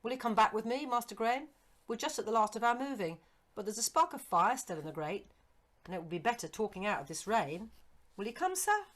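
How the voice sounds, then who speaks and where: A woman's voice: a little high, sing-song, brisk, a bit bright, voice pushed up. A woman reading a storybook and voicing a deferential, concerned Victorian working man, respectful and urgent. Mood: kindly entreating. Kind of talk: storybook character acting.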